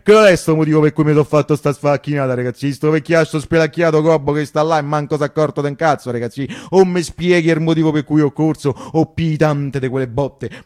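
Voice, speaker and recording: A man's voice: a little high, a little mellow, lightly acted. A man playing a big, angry Roman bully in a one-man radio play, confrontational and repeating his threats in Roman dialect.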